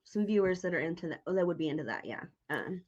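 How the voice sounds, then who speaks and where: A woman's voice: fairly low pitch, expressive, fast, dull audio. A woman hosting a casual interview, speaking informally and correcting herself as she goes. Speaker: interviewer, host.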